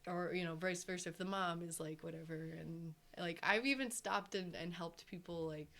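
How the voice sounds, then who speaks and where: A woman co-hosting a podcast in casual chat, talking in a rambling, animated way and acting out what others said. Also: fairly low pitch, normal speed, vocal fry. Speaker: podcast co-host.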